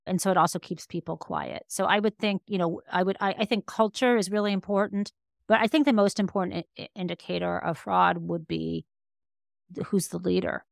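A woman's voice: lowish voice, fairly even intonation, brisk, gravelly, dry recording, clean studio sound. A woman speaking as an expert podcast guest, explaining conversationally and emphatically, often rephrasing as she goes. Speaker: interview guest, law professor and author.

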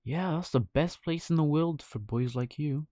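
A man's voice: on the high side, normal speed, mellow, dry recording, clean studio sound, pitched above the performer's own voice, lightly acted. A man playing a sly coachman who coaxes children in a persuasive, slick way, as a storybook villain. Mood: coaxing. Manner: coaxing, persuasive.

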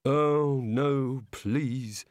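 A man's voice: medium pitch, extremely slow, a little mellow, no room sound, voice pushed up. A man voicing a hapless, condemned wizard with a gloomy, sarcastic and hesitant manner, in a storybook reading. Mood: bitter, exasperated.

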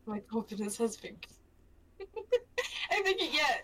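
A woman's voice: on the high side, big pitch swings, slow, a crowd in the background, rough recording. A young woman with a London accent, co-hosting a stream in casual chat, rambling and self-mocking, laughing often. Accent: London English. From England. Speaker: stream co-host.

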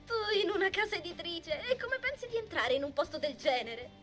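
A woman's voice: very high voice, sing-song, brisk, over music. A woman playing a grumpy, blunt young woman in an amateur anime dub, whiny and incredulous.

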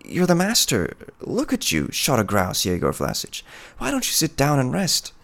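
A man voicing a shy, tender peasant woman of about thirty in a storybook, soft-spoken, wistful and pleading. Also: medium pitch, wildly swooping pitch, moderate pace, gravelly, voice pushed up, exaggerated voice. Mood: eager, coaxing.

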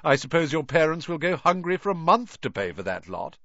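A man's voice: a little high, big pitch swings, fairly quick, fairly bright tone, no room sound, voice pushed up. A snide, spoiled rich schoolboy, as a male narrator puts him on, taunting and sneering. The recording is dry and a little muffled.

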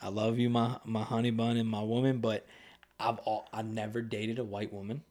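Young guy on a podcast, kinda low voice, rambly and loose, lots of um and like. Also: little pitch movement, normal speed, darkish tone. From United States. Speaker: podcast guest. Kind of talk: casual conversation. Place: podcast studio.

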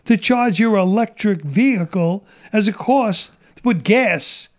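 High-pitched blunt ranting man. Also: measured pace. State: losing his voice. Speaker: guest. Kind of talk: casual podcast chat.

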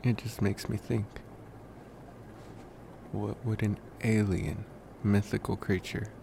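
A man playing a reflective, gentle young college vampire in an ASMR roleplay, musing softly and intimately to the listener. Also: on the low side, gravelly. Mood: curious, musing. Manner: musing, rambling, with pauses and sighs. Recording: noticeable steady background noise.

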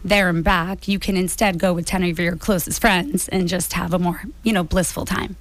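A woman with an American accent and a slightly raspy voice, chatting casually as an interview guest. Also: on the low side, fairly even intonation, normal speed. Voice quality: a little raspy. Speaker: guest, small business founder.

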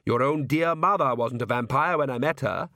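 A man's voice: medium pitch, moderate pace, sharp tone, dry recording, clean studio sound. A man voicing a haughty, scheming middle-aged vampire count in a storybook, urbane and patronising. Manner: theatrical, urbane.

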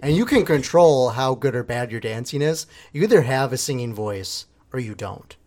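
A man's voice: mid-range voice, lively intonation, moderate pace, a little mellow. Male co-host, casual chat, jokey storyteller. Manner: playful storyteller, laughs while talking. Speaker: podcast co-host.

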